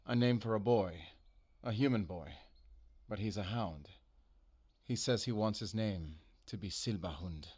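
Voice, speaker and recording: Low-pitched middle-aged hunter acted by a man, guarded, measured, stern but gentle, audio drama, dry close sound. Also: husky, no echo. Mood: calm, reassuring. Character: weary, guarded veteran male werewolf hunter, stern yet gentle. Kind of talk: cinematic audio roleplay acting.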